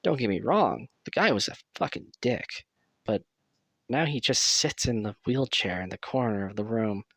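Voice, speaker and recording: A man's voice: medium pitch, animated, normal speed, fairly bright tone, no echo. A man playing a chatty, sardonic asylum patient who rambles and swears casually while guiding a newcomer in an audio roleplay. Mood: wry, confiding. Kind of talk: acted audio roleplay addressed to the listener.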